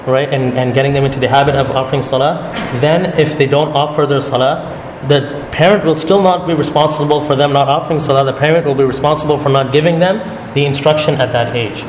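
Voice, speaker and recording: A man leading a religious study circle, explaining calmly and often checking in with "right?". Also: mid-range voice, fast talker, hardly any pauses. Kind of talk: religious talk to a group. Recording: echoey hall with noise.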